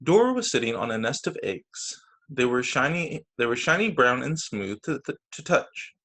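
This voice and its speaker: A man's voice: medium pitch, very animated, moderate pace, fairly bright tone. A man reading picture books aloud to children as a teacher, narrating over a video call. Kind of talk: storytime narration read aloud.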